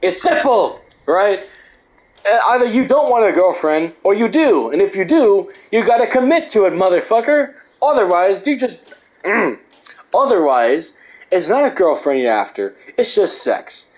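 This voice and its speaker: A man's voice: sits high, very animated, moderate pace, low-quality recording. A young man reading a book aloud and breaking off into rambling, profane rants. He says he is losing his voice. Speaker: host.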